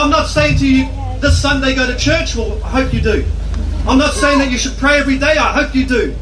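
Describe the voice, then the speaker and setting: A man with a New Zealand accent preaches to an outdoor rally, pushing his high voice to a shout. He is picked up from a distance. Crowd chatter and street noise fill the background. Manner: preaching, forceful, often shouting. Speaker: pastor giving a rally speech.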